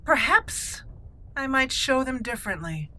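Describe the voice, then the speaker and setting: A woman voicing a proud young noblewoman warrior-mage, role-playing game dialogue in a measured, haughty manner. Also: sits high, big pitch swings, bright, forceful tone, voice pushed up, exaggerated voice. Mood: poised, confident. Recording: faint background noise.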